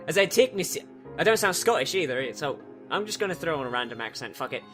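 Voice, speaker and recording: A man's voice: fairly high pitch, big pitch swings, normal speed, a bit bright, soft background music. A man reading a visual novel aloud, cutting in with sarcastic, sweary jokes. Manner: sarcastic, swears freely, breaks off to joke. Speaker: let's player.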